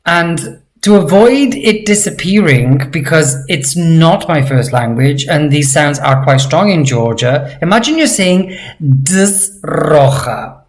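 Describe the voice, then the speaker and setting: Slow, patient male language teacher. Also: a little high. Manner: patient, explanatory, repeats words slowly for practice. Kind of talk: online language lesson.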